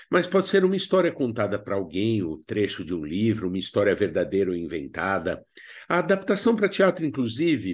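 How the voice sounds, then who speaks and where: Animated Brazilian man teaching. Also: medium pitch. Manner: didactic, expressive, colloquial asides. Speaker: drama teacher presenting a video lesson. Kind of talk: instructional narration with acted examples.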